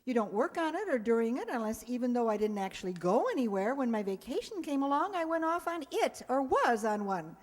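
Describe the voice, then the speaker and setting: A woman's voice: a little high, big pitch swings, a little fast, hardly any pauses. A woman acting in a radio sitcom as a wisecracking schoolteacher, with a dry, sardonic delivery. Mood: defensive, wry. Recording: echoey hall, long reverb.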